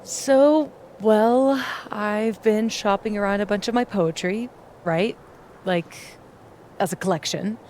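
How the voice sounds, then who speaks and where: A woman's voice: mid-range voice, slow pace, darkish tone, slight background noise, lightly acted. A woman playing a sly, secretive young woman in a video game, with a dry, teasing, drawling delivery. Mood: shy, confiding. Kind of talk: naturalistic video game acting.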